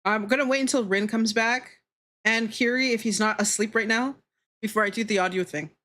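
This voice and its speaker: A woman with a fairly high voice streams casually, talking straight to her viewers. She is playful and teasing in how she speaks, with a giggly edge and plenty of swearing. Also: steady tone, a little fast, fairly bright tone. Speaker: streamer, VTuber. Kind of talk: casual livestream chat with viewers while drawing.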